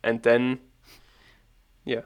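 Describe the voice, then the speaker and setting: A man hosting a podcast interview, calmly summarizing and steering the conversation. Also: mid-range voice, clean tone. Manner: calm, summarizing, measured. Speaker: podcast host.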